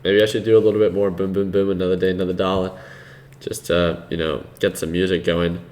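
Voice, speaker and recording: A man hosting a live trading stream, chatting casually with viewers in a relaxed, unhurried way, with a soothing voice and plenty of fillers. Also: low voice, a little hiss or hum. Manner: relaxed and unhurried, laughs easily, many fillers. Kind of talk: casual live-stream commentary, reading chat.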